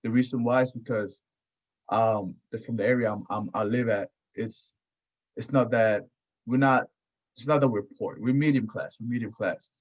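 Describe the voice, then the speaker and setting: A man's voice: medium pitch, steady tone, normal speed. A man hosting a podcast in casual chat, often repeating his phrases. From Houston area, United States. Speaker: podcast host. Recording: dull, lo-fi audio.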